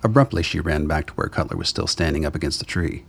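A man with a gravelly, husky voice narrating a scripted radio drama. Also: extremely low pitch, quick pace.

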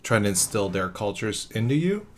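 A man's voice: fairly low pitch, sing-song, normal speed. A man who is an actor, interviewing a guest in a casual, easygoing chat with curious questions.

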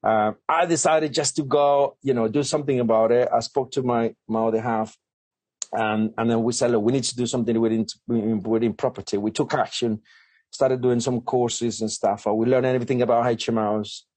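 A man's voice: medium pitch, steady tone, brisk, darkish tone, no room sound. Chatty man with a dry close sound. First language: not English. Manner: chatty, many fillers and restarts. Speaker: guest. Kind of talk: conversational interview.

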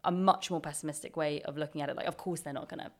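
A woman's voice: deep voice, steady tone, racing. A woman in her twenties, an actor chatting casually as co-host, reflective and thoughtful. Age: 20s. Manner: reflective, frequent soft "mm-hmm" backchannels. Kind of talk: casual chat.

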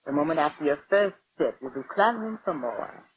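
Scheming edgy wife, falsely solicitous, theatrical, swooping intonation, 1940s radio drama, muffled old recording. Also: female voice, low voice, animated, fairly quick, slight background noise. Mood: falsely sweet, nervous. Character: scheming wife, nervously feigning sweetness to her husband.

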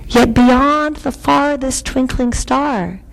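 A woman voicing a wise old female turtle from a storybook, gentle, solemn and measured. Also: high-pitched, gravelly, voice pushed up, exaggerated voice. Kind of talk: storybook read-aloud.

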